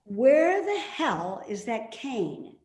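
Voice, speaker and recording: A sarcastic elderly mother in a stage play, acted by a woman, needling and quick to brush things off. Her voice sits fairly high and moves with very lively swings of pitch. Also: full character voice. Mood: grumbling, sarcastic. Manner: dry, needling, dismissive. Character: hobbling, sharp-tongued elderly mother, evasive and sarcastic. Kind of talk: readers theater stage-play acting.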